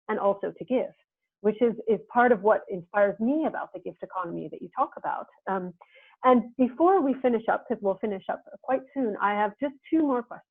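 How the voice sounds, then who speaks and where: A woman's voice: medium pitch, dry recording. An earnest woman hosts an interview over a video call, thinking aloud in long, winding sentences. Her voice comes through thin and phone-like, with a dry, close sound. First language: English. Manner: earnest, reflective, speaks in long winding thoughts. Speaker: interviewer and host.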